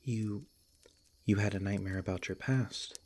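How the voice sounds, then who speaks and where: A man playing a protective, tender monster hunter in an ASMR roleplay, speaking in a gentle, halting, earnest way with a husky, breathy voice. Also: lowish voice. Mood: tender, concerned. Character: protective, tender male monster hunter comforting his beloved. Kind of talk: ASMR roleplay, soft intimate acting.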